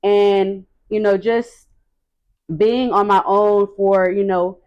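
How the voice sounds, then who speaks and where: A woman around thirty talks casually about her life, soft-spoken and reflective. Her voice sits at an everyday middle pitch. The recording sounds slightly dull. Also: steady tone, takes it slowly, smooth. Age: 30s. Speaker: vlogger, expecting mother. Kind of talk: casual couple Q&A chat.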